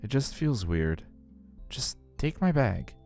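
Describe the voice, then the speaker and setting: A man's voice: mid-range voice, sing-song, takes it slowly, vocal fry, a little mellow, faint music behind, voice pushed up, full character voice. A man voicing an uneasy, reluctant tween boy in a visual novel game. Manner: hesitant, reluctant.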